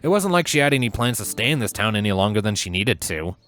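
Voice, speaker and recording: Low-voiced man narrating a story. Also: sing-song, fast, fairly bright tone. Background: music.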